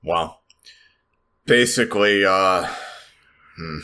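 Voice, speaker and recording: Very low, slow-spoken male player. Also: sharp tone. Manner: hesitant, measured. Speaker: tabletop game player. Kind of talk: improvised third-person roleplay recap.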